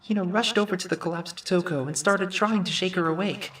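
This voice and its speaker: A woman's voice: lowish voice, brisk, fairly bright tone, recorded from a distance, natural voice. A woman playing an earnest, uncertain teenage boy who narrates an anime game fan dub in a thoughtful way. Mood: earnest narrating.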